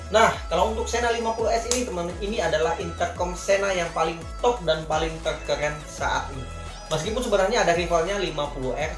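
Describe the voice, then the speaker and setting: A man with a Jakarta Indonesian accent giving a casual product review in informal, colloquial talk, often addressing his viewers directly. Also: fairly high pitch. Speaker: vlogger reviewing gear. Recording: echoey room with faint music.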